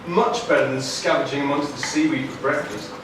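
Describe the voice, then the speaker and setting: A man's voice: mid-range voice, fairly even intonation, brisk. A man narrating a comic scripted story at a live puppet show. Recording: echoey noisy hall, recorded from far off.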